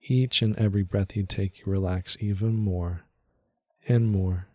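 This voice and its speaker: A man leading a guided meditation in a soothing, unhurried way, speaking in short phrases with long pauses. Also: sits low, steady tone, dark tone, dry recording, studio quality.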